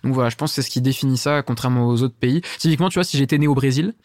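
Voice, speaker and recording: A young man from France talks quickly and eagerly as an interview guest. His speech is casual and slangy, full of fillers and restarts. The sound is dry and close, with no room to it. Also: medium pitch, a little mellow, no room sound. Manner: enthusiastic, slangy, many fillers. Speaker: startup founder, guest.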